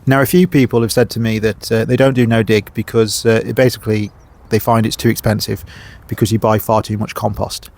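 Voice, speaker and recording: A man's voice: fairly low pitch, level tone, a little fast, gravelly, darkish tone. A man hosting a gardening vlog, talking casually outdoors at his allotment, sniffly with a cold and filling his talk with lots of uhs and ums. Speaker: gardening channel host. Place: outdoor allotment by a main road.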